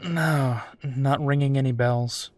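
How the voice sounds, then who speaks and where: A man's voice: mid-range voice, steady tone, slow pace, darkish tone, no echo, lightly acted. A man playing a wry, foul-mouthed werewolf private investigator in a roleplay audio drama, talking casually. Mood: businesslike, curious.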